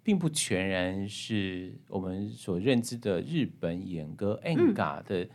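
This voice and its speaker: A man's voice: low-pitched, sing-song, unhurried, darkish tone. A man with a Taiwanese Mandarin accent hosting a conversational book interview, reflective, building his long questions in short phrases. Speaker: podcast host interviewing a guest.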